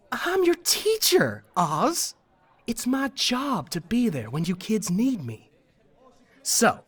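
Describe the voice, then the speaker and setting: An eccentric, earnest teacher acted by a woman speaks in a slightly husky voice that leaps all over its range. The coaxing, theatrical comic-dub delivery takes its time, with soft music underneath. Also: mid-range voice, full character voice. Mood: reassuring. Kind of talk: animated comic fandub acting.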